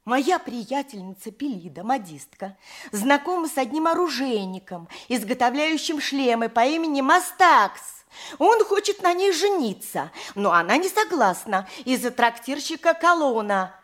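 Shrewd high-voiced long-suffering wife. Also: female voice, big pitch swings, normal speed, fairly bright tone, heavily acted. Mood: shrewd, persuasive. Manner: theatrical, declamatory, plaintive and knowing. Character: shrewd, long-suffering, scheming wife of poor donkey driver. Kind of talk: audio play stage acting.

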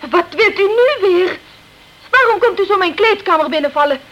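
A haughty offended lounge singer lady, really high voice, old radio drama, bit of hiss. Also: lively intonation, normal speed, muffled recording. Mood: upset, disbelieving. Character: indignant, defensive female nightclub singer hiding the truth. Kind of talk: Dutch radio crime drama acting. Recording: noisy old radio play recording.

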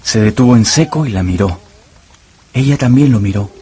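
A man's voice: medium pitch, lively intonation, normal speed, mellow, slight background noise. A man narrating a radio novel as a scripted, dramatic storyteller.

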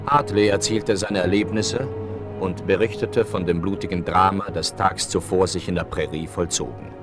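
A man's voice: deep voice, normal speed. A man as the solemn storyteller of a German Western audio drama, reading scripted narration. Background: music.

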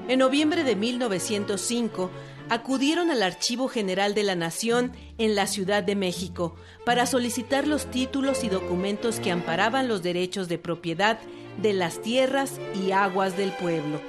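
A woman's voice: medium pitch, expressive, normal speed. Woman narrator over background music. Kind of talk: scripted documentary-drama narration. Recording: clear music behind.

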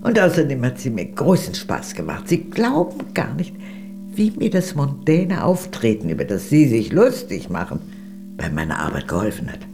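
Grand, animated aristocratic former spy. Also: female voice, very deep voice, normal speed, faint music behind, full character voice. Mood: amused, disdainful. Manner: grand, teasing, theatrical. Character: worldly aristocratic old female former Soviet spy, proud and amused. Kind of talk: German radio drama acting.

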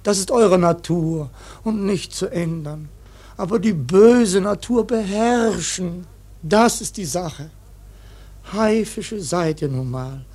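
A very old ship's cook for a radio play. He is stubborn and pious in a rough-edged way, cursing as he sermonizes. The voice is husky and a little high for a man, and he takes his time over the words. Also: takes it slowly, darkish tone, exaggerated voice. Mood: preachy, exasperated. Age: older. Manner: grumbling mock-preacher, swears constantly. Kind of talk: German radio play acting.

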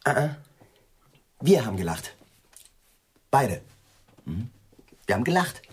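A man playing a conciliatory, evasive friend in a radio play, hesitant and eager to smooth things over. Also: medium pitch, big pitch swings, a little slow, full character voice. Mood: defensive, evasive. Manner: hesitant, placating.

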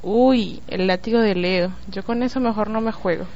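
A woman's voice: mid-range voice, moderate pace, a little mellow, close to an everyday voice. A woman playing a teasing, mischievous girl prefect in an amateur radio play, sassy and playful. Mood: mocking. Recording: dull lo-fi home recording.

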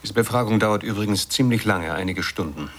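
A man's voice: fairly low pitch, a little fast, slight background noise, natural voice. An actor playing an earnest, tactful male analyst in a science fiction radio play, explaining things hesitantly and factually. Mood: matter-of-fact. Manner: hesitant, matter-of-fact explaining.

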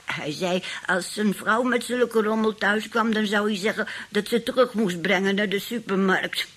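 An actress playing a sly, bossy old great-aunt in a radio play, speaking in a deliberate, calculating way. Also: female voice, medium pitch, fairly bright tone, close to an everyday voice. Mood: sly amusement. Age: older.

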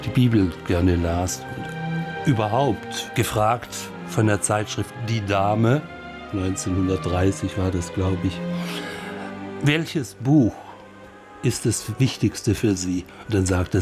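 A man giving unscripted, anecdotal commentary on a film in a halting, musing, wry manner. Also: low-pitched, over music.

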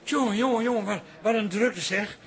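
A man's voice: high voice, moderate pace, a bit bright. A man playing a flustered, self-important café owner in a comic radio drama, stammering and hesitant. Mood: flustered, nervous.

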